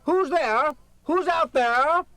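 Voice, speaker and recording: A man's voice: extremely high pitch, takes it slowly, pushed and bright, no room sound, full character voice. An actor playing a fussy, folksy night watchman in a children's cartoon audio drama, talking in clipped sentences. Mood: wary. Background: faint music.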